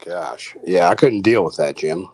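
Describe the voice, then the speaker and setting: A man's voice: low-pitched, measured pace, a little mellow, slightly rough. A man in casual conversation, speaking informally and chiming in as a chat partner. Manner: informal, interjecting.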